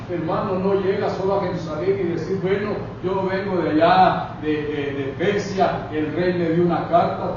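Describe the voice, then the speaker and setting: Man preaching a sermon in an echoey church. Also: sits high. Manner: preaching with repeated direct address to the congregation. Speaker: pastor. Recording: echoey, distant, dull, noisy hall recording.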